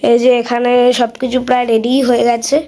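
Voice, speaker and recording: A woman's voice: on the high side, monotone, fairly quick, background noise. A woman narrating a casual show-and-tell vlog, pointing things out one by one. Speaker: vlog host.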